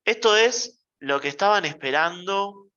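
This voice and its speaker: A male university lecturer talks through math slowly, in a playful manner, pausing to check in with the class. His voice is bright and rises and falls a lot. The classroom recording sounds dull and lo-fi. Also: high-pitched, expressive.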